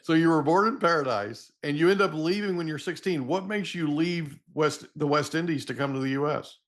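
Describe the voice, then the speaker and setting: A man's voice: fairly high pitch, fairly quick, fairly bright tone. A man hosting a podcast interview, asking questions and giving friendly, short replies. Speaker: podcast host.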